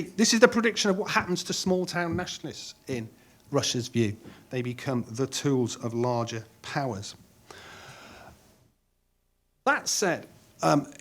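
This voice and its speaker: A middle-aged man speaking on a conference panel in a measured way, pausing with frequent ums and restarting phrases. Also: medium pitch, fairly bright tone.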